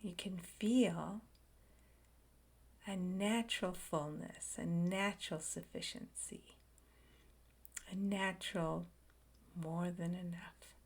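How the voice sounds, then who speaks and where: A woman leading a guided meditation, speaking softly in short phrases with long pauses and frequent whispered words. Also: lowish voice, fairly even intonation, husky. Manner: slow, soft and soothing, drifting into whispers.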